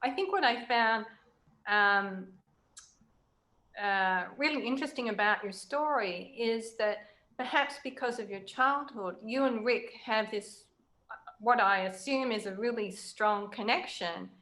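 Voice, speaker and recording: A woman interviewing in a conversational way, reflective and pausing to choose her words. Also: medium pitch, pushed and bright. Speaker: interviewer, writer.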